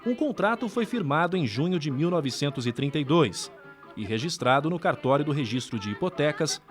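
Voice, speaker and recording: Expressive Brazilian man narrating over music. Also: fairly high pitch, brisk. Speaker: documentary narrator. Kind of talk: scripted narration.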